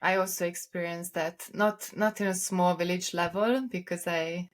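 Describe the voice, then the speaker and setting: A woman with a slightly low voice talks casually as a podcast co-host. She is reflective and a little halting, reworking her phrases as she goes. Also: fairly even intonation.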